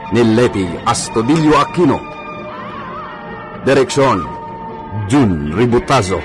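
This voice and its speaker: A man announcing a radio drama in a dramatic style. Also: mid-range voice.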